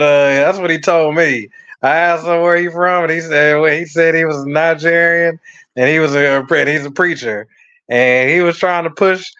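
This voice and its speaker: A man's voice: a little high, fairly bright tone, no room sound. A man telling a story in a rambling, slangy way, swearing and using lots of fillers. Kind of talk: casual storytelling. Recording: slightly muffled lo-fi audio.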